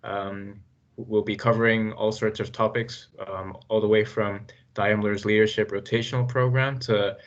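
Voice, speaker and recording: A man's voice: on the low side, level tone, normal speed. A man hosting an interview, introducing his guest with many hesitant "uh"s and "um"s. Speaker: podcast host. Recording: dull lo-fi audio.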